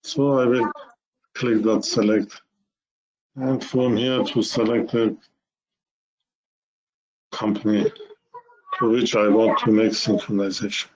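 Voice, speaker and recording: Slow-paced man demonstrating software. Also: lowish voice, level tone, poor audio. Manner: halting, step-by-step explaining in short phrases. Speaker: software presenter.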